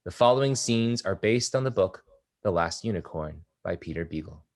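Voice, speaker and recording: Low-voiced man reading stage directions. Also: moderate pace. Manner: steady, descriptive reading. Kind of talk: table read of a stage play.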